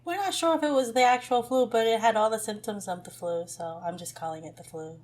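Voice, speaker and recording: A middle-aged woman co-hosting a podcast with her daughter, her voice husky from the flu, chatting casually and laughing often. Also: fairly high pitch, a little fast, darkish tone. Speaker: podcast co-host. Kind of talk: casual chat.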